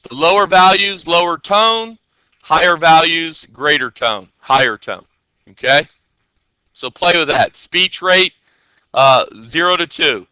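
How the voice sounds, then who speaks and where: A man's voice: on the high side, sharp tone, clear, resonant tone, dry recording, poor audio. A man teaching coding in a casual screen-share tutorial. He talks in a loose, chatty way with many fillers, and keeps checking in with his listeners.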